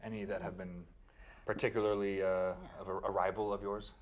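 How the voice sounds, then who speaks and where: A man's voice: low-pitched, flat delivery, brisk. A man playing a tabletop game, casually asking questions in character.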